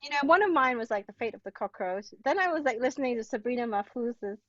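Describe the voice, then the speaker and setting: Woman from Hong Kong, fairly high voice, casual interview guest, chatty, lots of "like" and "you know", slightly muffled dry recording. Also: animated, quick pace, a bit bright, no room sound. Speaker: interview guest, playwright and translator. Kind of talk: casual conversation.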